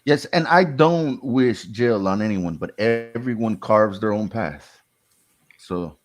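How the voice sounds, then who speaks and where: A man's voice: lowish voice, slow pace. A man co-hosting a casual livestream, chiming in with laid-back, brief remarks. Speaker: show co-host.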